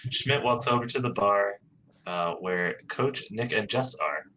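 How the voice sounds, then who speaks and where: A man reads stage directions aloud in a plain, matter-of-fact way for a sitcom table read. His voice is fairly low. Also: measured pace, bright, forceful tone, a little hiss or hum, low-quality recording. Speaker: podcast host reading stage directions.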